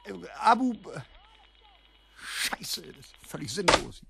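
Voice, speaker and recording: Higher-voiced, gullible, defensive man. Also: sing-song, slow pace, gravelly, heavy background noise, heavily acted. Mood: flustered. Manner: colloquial, impatient. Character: gullible, defensive man tempted by easy money. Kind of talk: naturalistic radio drama dialogue.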